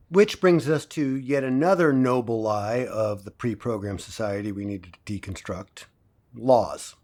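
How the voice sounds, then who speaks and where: A man performs an assured professor of a dystopian future in an audio drama. His mid-pitched voice lectures in a measured, didactic way, with dry wit in the delivery. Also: a little mellow, natural voice. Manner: didactic, measured, dryly wry.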